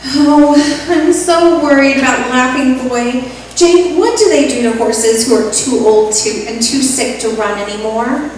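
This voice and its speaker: A woman's voice: sits high, a little slow. A woman playing a sweet, scatterbrained young secretary in old-time radio comedy style, naive and earnest. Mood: worried. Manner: naive, muddles phrases. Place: echoey room, staged radio show. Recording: very echoey room, distant mic, faint noise.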